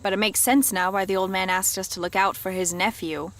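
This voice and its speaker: A woman's voice: medium pitch, steady tone, fairly quick, fairly bright tone, natural voice. A woman with an American accent acting a sarcastic, bossy stakeout partner in a radio drama. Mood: sarcastic, wry. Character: sharp-tongued, no-nonsense woman on a stakeout.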